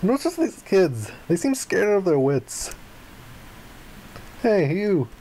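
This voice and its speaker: A let's player acts out a young visual novel hero with no memory. He is brash and bewildered, pitched up high and exclaiming dramatically, over faint noise. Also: sing-song, slow.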